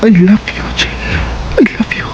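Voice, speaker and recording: A man's voice: measured pace, dull audio, noisy background, lightly acted. A man acting an ardent ex-boyfriend in a Cebuano radio drama, with an earnest, coaxing manner. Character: Edon, pleading ex-boyfriend, ardent smooth-talking suitor.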